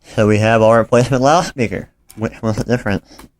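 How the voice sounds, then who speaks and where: Low-voiced man giving a casual repair how-to. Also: steady tone, a little fast. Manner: informal, apologetic, talking while working with his hands. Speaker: phone repair tutorial host. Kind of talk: casual hands-on how-to narration.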